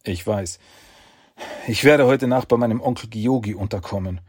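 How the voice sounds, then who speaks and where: A man's voice: fairly low pitch, moderate pace, darkish tone, breathy edge. A man voicing an earnest, respectful young male martial arts student.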